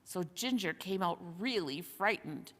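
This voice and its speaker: A woman's voice: lowish voice, expressive, a little slow, fairly bright tone, voice pushed down. A woman voicing a brash, eager and scornful schoolboy in a storybook, blurting things out emphatically. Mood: eager, excited. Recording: long hall-like reverb.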